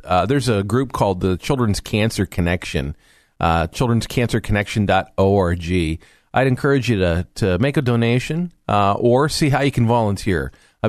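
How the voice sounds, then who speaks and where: A man's voice: on the low side, darkish tone, no echo. A man hosting a radio talk show from a studio, earnest and rambling with restarts.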